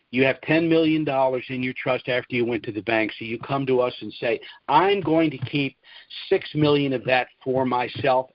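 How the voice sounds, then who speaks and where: A man hosting a conference call, answering questions in a businesslike way and spelling out details slowly. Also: mid-range voice, dry recording. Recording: dull lo-fi call audio.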